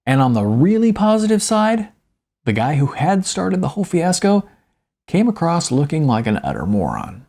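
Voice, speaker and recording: A man's voice: on the high side, wildly swooping pitch, moderate pace, gravelly, warm tone. A man narrating his own novella as an audiobook in the first person, with a wry, understated delivery and chatty asides.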